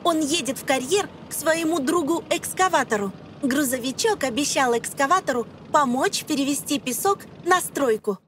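High voiced woman narrating a kids learning cartoon, explains stuff simply, some noise behind her. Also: expressive, normal speed, fairly bright tone. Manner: simple explanatory phrasing addressed to children. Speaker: narrator of an educational children's cartoon. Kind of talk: scripted children's narration.

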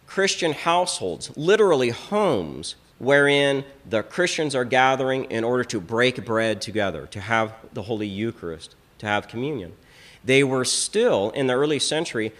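A middle-aged man teaches from a lectern in a small church hall, speaking into a stand mic. His delivery is emphatic, and he often doubles back on a word before driving his point home. His pitch sits right in the middle for a man. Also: fairly bright tone. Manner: emphatic, repeats words as he builds a point. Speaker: Orthodox priest. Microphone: stand mic on lectern, filmed from a fixed camera.

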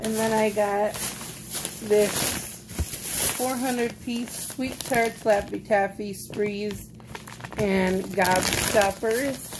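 Young woman doing a casual vlog, talks pretty slow, noisy phone recording in her kitchen. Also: medium pitch, fairly even intonation. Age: young adult. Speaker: vlogger. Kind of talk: casual grocery haul vlog chat. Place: small indoor home kitchen. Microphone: phone close at arm's length. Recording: noticeable noise on phone recording.

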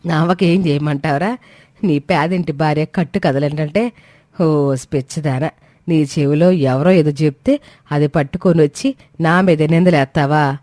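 A woman's voice: low voice, unhurried, mellow, dry recording, clean studio sound. A woman voicing a bossy, cruel older mother-in-law in a dramatised moral story, sneering and commanding. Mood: indignant, accusing. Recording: faint music behind.

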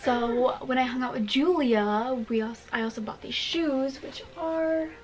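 A woman's voice: sits high, measured pace, a little mellow, soft background music. A nineteen-year-old woman with an American accent, chatting casually to her vlog camera. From United States, Michigan and New Hampshire. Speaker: vlogger. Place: bedroom, car and outdoors.

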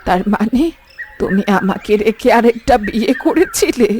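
A woman's voice: on the high side, lively intonation, moderate pace, a little mellow, slight background noise, lightly acted. A woman playing a suspicious, melodramatic housewife who scolds and laments in a cartoon story. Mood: outraged wailing.

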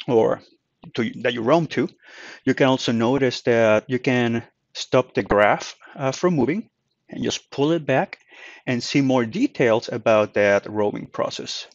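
Male tutorial presenter, mid-pitched, conversational and explanatory, dry and slightly muffled sound. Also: no room sound. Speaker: tutorial presenter, company manager. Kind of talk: informal software tutorial narration.

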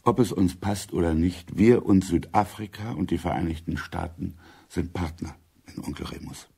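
An actor playing an urbane, authoritative intelligence chief in a German radio drama, speaking in a measured, deliberate way. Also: male voice, deep voice, vocal fry, warm tone, husky, exaggerated voice. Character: senior intelligence chief, urbane, matter-of-fact, authoritative older man.

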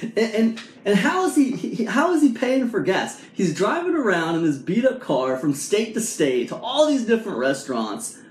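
Animated man in his forties, echoey room. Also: high voice, moderate pace. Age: 40s. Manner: animated storytelling with many fillers and rhetorical "right?". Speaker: vlog host. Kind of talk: casual motivational talk to camera. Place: small indoor room at home. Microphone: camera or phone at arm's length.

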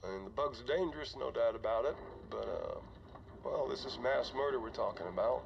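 A man's voice: medium pitch, gravelly, natural voice. Man acting weary starship commander, muffled noisy log. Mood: resigned, rueful. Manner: conversational, halting, sighing. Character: weary older male starship commander, jittery, remorseful. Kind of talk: dramatic audio log acting. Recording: dull, noisy cockpit log recording.

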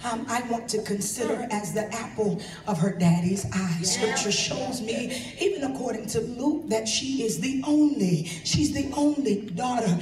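A woman preaching a fervent sermon from the pulpit of a large church, building into shouted, rhythmic repetition. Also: a little high, normal speed, hardly any pauses, music in the background. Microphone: handheld mic at pulpit, filmed from the pews. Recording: echoey sanctuary recorded from far back.

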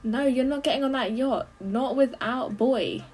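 A young adult woman giving casual, expressive running commentary as she reacts to a film, full of exclamations. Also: high-pitched, a little slow, faint music behind. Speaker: movie reaction host. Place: small bedroom.

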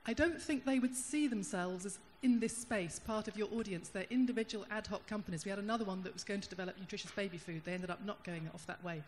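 A woman in the audience at a panel discussion, sharing thoughtful comments into a handheld microphone, pausing and restarting as she searches for words. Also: medium pitch, darkish tone. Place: large conference hall. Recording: hall reverb, faint room hum.

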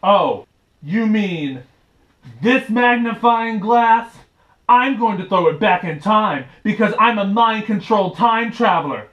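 Young man doing a mind-controlled traitor puppet, high male voice, very drawn-out, droning, villainous, roomy echo. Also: animated, muffled recording. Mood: gloating. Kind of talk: amateur puppet show. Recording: roomy, echoey bedroom sound.

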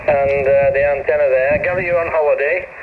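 A man's voice: a little high, fairly even intonation, a little fast, phone-quality sound. A man chatting casually as an amateur radio operator, friendly and hesitant, heard through a shortwave radio speaker. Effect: shortwave radio transmission. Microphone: camera picking up radio speaker. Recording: thin radio-speaker sound with background noise.

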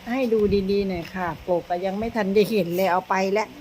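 A mother at home, gently coaching and correcting her child as the child reads storybook titles aloud. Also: mid-range voice, fairly even intonation, fast, slight background noise. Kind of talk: casual home chat.

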